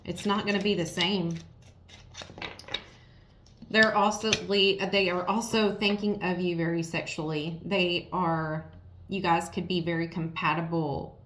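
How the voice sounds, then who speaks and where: A woman with a raspy, worn voice giving a casual tarot reading, talking frankly and straight to the viewer. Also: on the low side, fairly even intonation, a little slow, fairly bright tone. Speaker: tarot reader. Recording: roomy echo.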